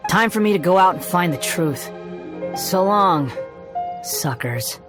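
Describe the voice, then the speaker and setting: A woman's voice: fairly low pitch, expressive, takes it slowly. A woman voicing an arrogant, volatile young male villain in a video game, sneering and theatrical, with music behind. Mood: irritated. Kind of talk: scripted video game voice acting.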